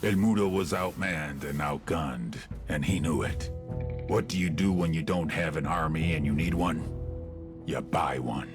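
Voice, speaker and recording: An actor playing a cold, menacing cartel boss who narrates crime events in a deliberate, ominous, terse way. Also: male voice. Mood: cold, knowing. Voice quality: deep, gravelly. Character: deep, cold, menacing cartel boss telling his story. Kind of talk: dramatic crime-story narration. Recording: music behind the voice.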